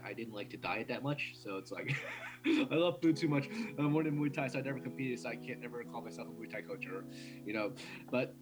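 Young male guest from New York, mid pitch, quick run-on talker, blunt, faint music behind. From New York City. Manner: fast, run-on talk with restarts and laughs, blunt swearing. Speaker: interview guest, martial arts instructor. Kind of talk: casual interview.

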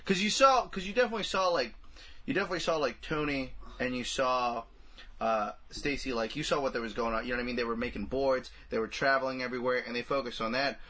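A man with a bright, forward voice at middling pitch. He talks casually as a podcast co-host, restarting and repeating phrases in a slangy, halting flow. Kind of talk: casual film discussion.

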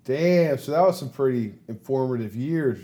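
A man's voice: medium pitch, expressive, normal speed, dark tone. A man hosting a casual podcast, loose and profane, asking curious questions. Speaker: podcast host.

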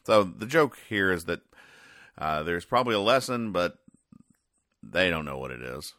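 Very deep-voiced man, deadpan and halting. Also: no room sound, studio quality. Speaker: podcast host. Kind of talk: casual comedic recap of newspaper comics.

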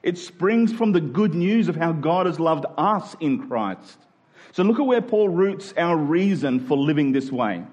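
A male preacher with a somewhat high voice delivers a sermon. He speaks conversationally and informally, then leans on key words, drawing them out slowly for emphasis. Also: big pitch swings, darkish tone, dull audio. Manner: conversational, informal asides to listeners. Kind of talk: sermon to a congregation.

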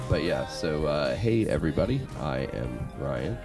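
Casual podcast host, man, very low voice, loose and joking. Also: big pitch swings, normal speed, music in the background. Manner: loose, joking, many fillers. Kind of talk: casual interview chat.

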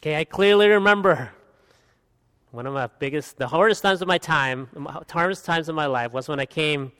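A man in his thirties from Guatemala, preaching an expository sermon as pastor, earnest, swinging from emphatic peaks to quiet asides. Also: a little high, normal speed, clean tone. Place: church hall. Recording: long hall reverb.

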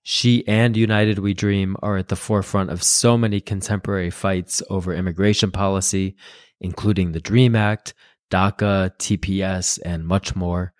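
A man's voice: low-pitched, steady tone, moderate pace, no echo, studio quality. A man hosting a podcast, reading a set introduction and then asking his guest questions. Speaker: podcast host.

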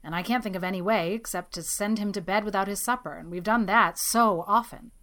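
A woman's voice: medium pitch, a little fast, a bit bright, close to an everyday voice. A woman voicing a stern, grim older woman raising a child, weary and dry in manner.

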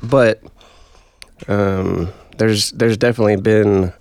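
Man, very slow, gravelly, halting and thoughtful, dry sound. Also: lowish voice, steady tone, darkish tone. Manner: slow, halting and thoughtful, with many fillers and swears. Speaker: guest. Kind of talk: casual chat.

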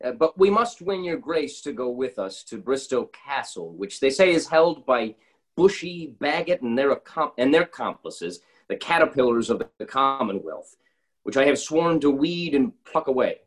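A man's voice: mid-range voice, animated, moderate pace, lightly acted, low-quality recording. A man reading the part of an ambitious, resolute nobleman aloud.

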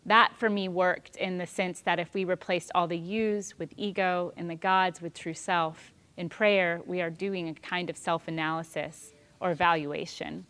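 Calm, reflective woman, slightly low voice. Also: clean tone. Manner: calm, thoughtful, unhurried with soft pauses. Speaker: speaker on prayer and spirituality. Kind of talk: reflective spiritual talk.

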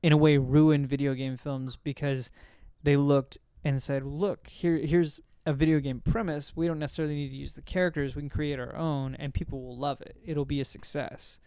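Smooth, higher-voiced man chatting casually. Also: fairly even intonation, moderate pace, hardly any pauses, mellow, no room sound, studio quality. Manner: rambling, self-correcting, hesitant recall. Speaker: podcast host. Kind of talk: casual discussion of films.

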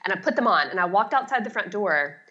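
A woman's voice: medium pitch, animated, fast talker, sharp tone, muffled recording. A middle-aged woman talking casually as an expert guest in an interview, with a chatty, emphatic, self-deprecating way of explaining. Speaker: guest, home organizing expert.

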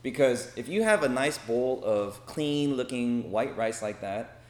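A man's voice: mid-range voice, animated, unhurried. American man speaking in an echoey room. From Los Angeles, United States. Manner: conversational, frequent check-in tags like "okay?" and "right?". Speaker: speaker giving a talk. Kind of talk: informal talk to a group, personal anecdotes. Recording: roomy echo, faint background noise.

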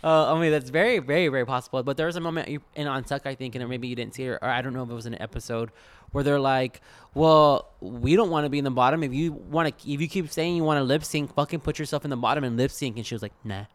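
Sassy man with a clear, smooth voice. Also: mid-range voice, fast. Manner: sassy, profane, slangy. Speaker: podcast co-host. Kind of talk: casual recap chat about a TV show.

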